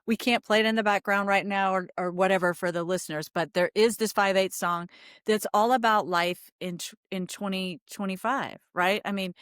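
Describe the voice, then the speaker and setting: A woman's voice: mid-range voice, fairly even intonation, normal speed, a bit bright, clean tone, no room sound, clean studio sound. A woman hosting a casual interview, talking in an effusive, meandering, conversational way. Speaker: podcast host.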